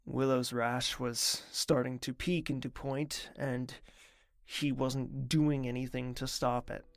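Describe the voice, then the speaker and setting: An actor playing a kind, modest young prince who speaks softly. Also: male voice, medium pitch, level tone, slow, creaky, dry recording. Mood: somber. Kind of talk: audio drama acting.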